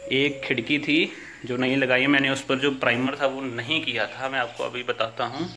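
A man's voice: medium pitch, level tone, moderate pace, sharp tone, a little hiss or hum. A man in his thirties vlogging casually about building his house, explaining each step in a chatty way. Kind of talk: casual home-building vlog narration. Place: house under construction, indoors and on a veranda.

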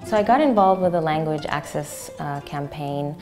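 A woman giving an interview about community work, pausing often with fillers. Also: low voice, expressive, music in the background. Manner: hesitant, many fillers. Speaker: community member. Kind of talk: interview testimony.

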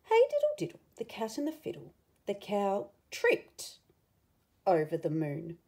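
A woman reading a picture book aloud to children, with expressive, dramatic emphasis and asides to the listener. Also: medium pitch, unhurried.

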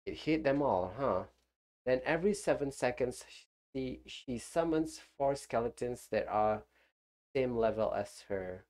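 Male streamer, phlegmy from flu, slow easygoing gameplay chatter. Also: mid-range voice, animated, darkish tone. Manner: rambling, chats with viewers. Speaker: game streamer. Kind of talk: casual live gameplay commentary.

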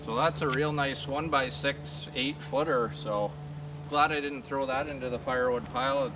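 A man in his thirties chatting casually about his work at a sawmill clearing in the woods, relaxed and folksy, with plenty of easygoing fillers. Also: mid-range voice, moderate pace. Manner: relaxed, folksy, lots of "kinda thing" fillers. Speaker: sawmill vlogger. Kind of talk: casual how-to chat. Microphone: far camera on a tripod. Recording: distant voice over loud outdoor noise.

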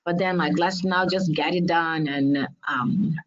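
A woman's voice: deep voice, fairly even intonation, unhurried. Brazilian-born woman on a lo-fi video call. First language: Portuguese. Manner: earnest, direct advice with frequent ums. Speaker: webinar panelist. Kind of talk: webinar panel answer.

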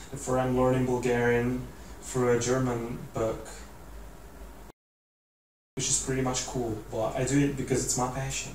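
A man's voice: mid-range voice, darkish tone. A man in his twenties with an Italian accent, talking earnestly to the camera in a casual, rambling way while seated indoors. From Italy. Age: 20s. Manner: earnest, rambling with many "like"s and restarts. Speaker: vlogger. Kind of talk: casual motivational talk to camera. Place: indoor room, seated in armchair. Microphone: camera mic from a few feet away. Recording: roomy echo, distant mic, faint hiss.

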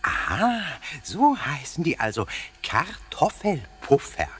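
Silly cheeky ghost for a kids audio play, high husky voice, swoops all over. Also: male voice, wildly swooping pitch, slow pace, a bit bright, soft background music, heavily acted. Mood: chatty, playful. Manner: theatrical, stammering over words, muddling them. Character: cheeky, playful, excitable old castle ghost, greedy for snacks. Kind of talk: children's radio play acting.

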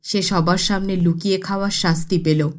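A woman's voice: lowish voice, steady tone, normal speed, natural voice. A woman playing a pious poor village girl in a cartoon, earnest and preachy. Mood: grave storytelling.

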